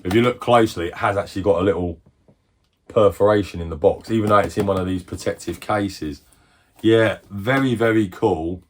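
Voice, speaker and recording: Low-voiced man chatting through his collection. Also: a little fast. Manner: rambling, chatty, self-correcting. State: losing his voice. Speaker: collector showing games. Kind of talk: casual collection show-and-tell.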